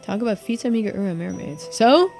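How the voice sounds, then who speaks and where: A woman's voice: mid-range voice, animated, fairly quick, creaky, a little mellow. A woman voicing an eager, genteel investigator in a video game, with florid, exclamatory speech. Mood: eager, delighted. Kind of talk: game character dialogue read aloud. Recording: music behind the voice.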